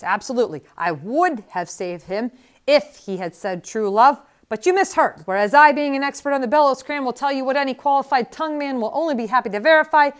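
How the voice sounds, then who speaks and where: A woman's voice: on the high side, voice pushed up, close to an everyday voice. A woman voicing a cranky, boastful elderly male miracle healer who rambles in a querulous, bossy way. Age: over 80 (figure).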